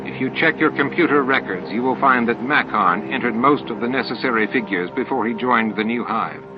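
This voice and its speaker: A man's voice: medium pitch, brisk, close to an everyday voice. A man voicing a stern, scowling male alien grandmaster in an audiobook, curt and commanding. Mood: stern, dismissive. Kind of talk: audiobook character acting. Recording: dull lo-fi tape with music.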